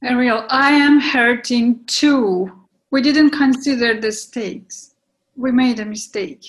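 A regretful woman gently ending a romance, acted in a play reading. She speaks slowly in a lightly raised pitch, restrained and apologetic, with a resigned air. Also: poor audio. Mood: pained, placating. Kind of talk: readers theatre play reading.

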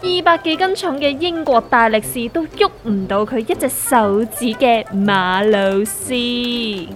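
A woman's voice: on the high side, measured pace, faint music behind, lightly acted. A woman with a Hong Kong Cantonese accent playing a sensible, inquisitive friend in a comedic audio drama, questioning and wry.

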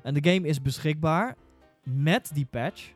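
A man in a casual podcast discussion who starts haltingly and repeats his words. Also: fairly high pitch, soft background music. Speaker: podcast panelist.

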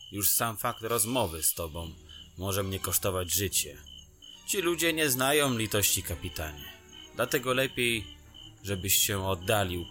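A man playing a sly, fearful underworld fixer in a fantasy audio drama, evasive and drawn-out in his speech. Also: low-pitched, bright, forceful tone, heavily acted.

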